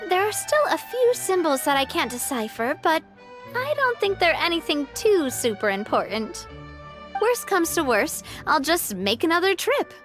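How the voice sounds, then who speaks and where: A woman playing a spirited young female leader in a video game, earnest and breezy, with expressive delivery. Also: very high voice, moderate pace, faint music behind, heavily acted. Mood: casual, inviting.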